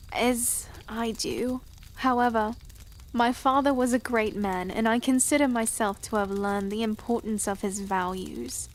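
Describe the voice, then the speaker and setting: An actress playing a dignified, resolute young queen who speaks formally and earnestly. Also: on the high side. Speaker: newly crowned queen. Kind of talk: fantasy audio drama acting. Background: music.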